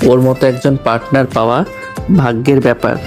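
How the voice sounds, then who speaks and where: A man acting as a courteous young husband in an audio drama. He speaks calmly in a flat, even pitch with measured, deferential phrasing, over clearly audible music. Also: close to an everyday voice. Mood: polite, doting. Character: polite, calm young orphan posing as doting husband.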